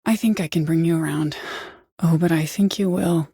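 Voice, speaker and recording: An actress playing a woman caller in a video game, by turns desperate, coaxing and menacing, with a hushed, insistent way of pleading. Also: sits low, steady tone, moderate pace, slightly rough, no room sound, studio quality. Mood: cold menace. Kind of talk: video game voice acting, dramatic dialogue.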